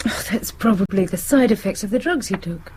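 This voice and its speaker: A woman's voice: medium pitch, expressive, brisk, a little mellow, a little hiss or hum, close to an everyday voice. An actress playing an anxious young British woman in a radio drama. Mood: concerned, subdued. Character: soft, anxious young woman worried about her parents.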